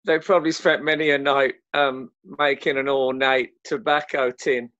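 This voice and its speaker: A man's voice: mid-range voice, level tone, normal speed, a bit bright, dry recording, muffled recording. A man, an actor chatting in a casual interview in a wry, hesitant way. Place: home, remote lockdown recording.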